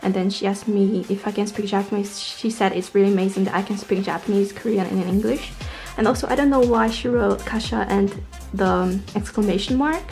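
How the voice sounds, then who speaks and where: Young woman from poland vlogging, english, chatty and informal, relaxed mellow voice, bit of music behind. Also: mid-range voice, fairly quick. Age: young adult. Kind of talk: casual storytelling to camera.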